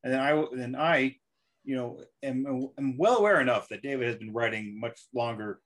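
A man, a guest author, chatting casually about writing in a halting way, often repeating words. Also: medium pitch, fairly bright tone.

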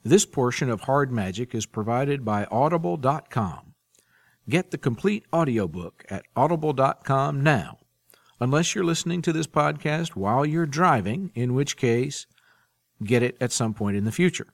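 A man's voice: medium pitch, lively intonation, normal speed, no echo. A man hosting a podcast, presenting and interviewing in a prepared, orderly way into a close mic. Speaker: podcast host.

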